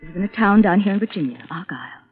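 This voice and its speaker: An American actress playing a seductive, scheming blackmail accomplice in a 1940s radio drama, with a coaxing, intimate manner. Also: female voice, medium pitch, lively intonation, racing, slightly rough, soft background music. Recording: thin, phone-like vintage radio recording.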